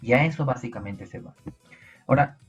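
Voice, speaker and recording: A man's voice: medium pitch, fairly quick, dull audio, soft background music. A man with a Peruvian Spanish accent teaching an online biology class, explaining step by step and asking his students rhetorical questions. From Peru.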